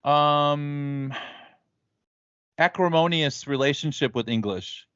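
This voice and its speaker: American guy, thoughtful and measured, voice a bit high, muffled dry audio. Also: a bit bright, clear, resonant tone, dry recording. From United States. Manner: thoughtful, measured, light laughs. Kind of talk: casual conversation.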